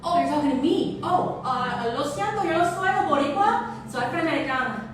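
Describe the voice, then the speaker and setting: Female one-woman-show storyteller, dramatic and loosely improvised, high voice, distant from the mic with music behind. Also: very echoey. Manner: expressive, dramatic storytelling with drawn-out words. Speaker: solo stage performer. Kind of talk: theatrical autobiographical monologue, loosely improvised.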